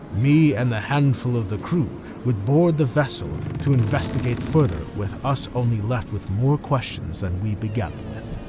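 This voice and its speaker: An actor playing a haunted ship's officer in an audio drama, telling a sea tale in measured, solemn, formal phrasing. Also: male voice, mid-range voice, lightly acted. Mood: grave, uneasy. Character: haunted sailor, first mate, recounting a sea tale. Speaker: narrating character in an audio drama. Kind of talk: dramatic scripted first-person storytelling.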